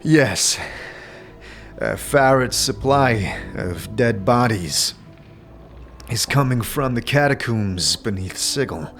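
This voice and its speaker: A man playing a hesitant, terse fantasy adventurer in an audio drama, speaking in a halting, understated way. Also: medium pitch, animated, fairly bright tone, faint music behind. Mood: frank, guarded.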